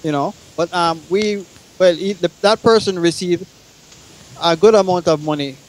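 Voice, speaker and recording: Guy guest on a talk show, kinda high voice, stumbles and repeats words explaining. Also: normal speed, poor audio. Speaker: guest musician and songwriter. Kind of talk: recounting own experience in discussion.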